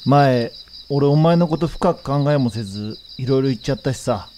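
A man's voice: mid-range voice, moderate pace, dark tone, slight background noise, lightly acted. Actor playing an earnest young man among friends. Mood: sincere, apologetic. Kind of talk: radio drama acting.

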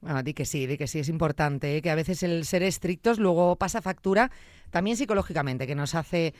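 A woman from Zamora, Spain, hosting a podcast interview in an expressive, exclamatory way with wide swings in pitch. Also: a little fast, no room sound, clean studio sound. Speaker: podcast host. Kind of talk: casual interview.

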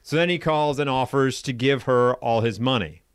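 A man's voice: mid-range voice, moderate pace, clean tone, dry recording, clean studio sound. A man co-hosting a casual show, bantering and retelling odd news stories with jokes and mock voices. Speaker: co-host.

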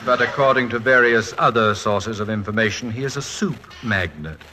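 An American actor playing a witty, proud, fretful middle-aged college president and husband in a wry, articulate 1940s radio comedy-drama style. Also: male voice, lowish voice, normal speed, natural voice. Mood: dryly joking. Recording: noisy 1940s radio recording.

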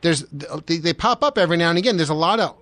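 An American man hosting a casual radio interview, asking questions in a halting, rambling way. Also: fairly high pitch, lively intonation, fairly bright tone, no room sound. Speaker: radio station host interviewing.

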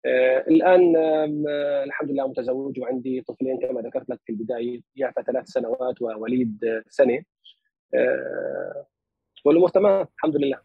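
A man from Lebanon with a Palestinian Arabic accent, speaking as an interview guest in a measured, formal way with many hesitations. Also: mid-range voice, steady tone, gravelly, husky, no room sound, narrow, tinny audio. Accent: Palestinian Arabic as spoken in Lebanon. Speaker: guest, physician and analyst. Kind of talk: interview conversation.

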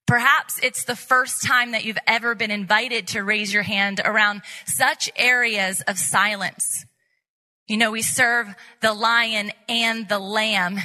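A woman with an extremely bright, forceful voice, pitched fairly high, gives a motivational faith talk as a conference speaker. She exhorts her listeners earnestly and repeats phrases for emphasis. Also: poor audio.

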